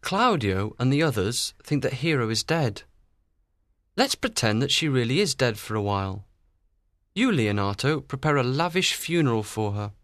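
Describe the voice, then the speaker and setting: An actor playing a gentle, thoughtful friar in a dramatised audiobook, with a reassuring manner. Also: male voice, medium pitch, very animated, normal speed, no room sound, clean studio sound. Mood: quietly explaining.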